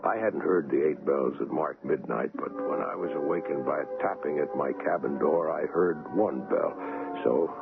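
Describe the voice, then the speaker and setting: An actor playing a calm, reassuring ship's doctor in an old-time radio drama, speaking in a measured, courteous way. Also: male voice, extremely low pitch, creaky, over loud music. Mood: reflective, grave. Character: calm, reassuring male ship's doctor recounting his story. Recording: tinny old radio broadcast, faint noise.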